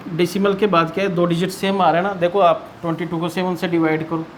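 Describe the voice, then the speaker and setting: A man's voice: high voice, level tone, quick pace, a little hiss or hum. An Indian man teaching maths in an explanatory lesson style, asking and answering his own questions and repeating key points.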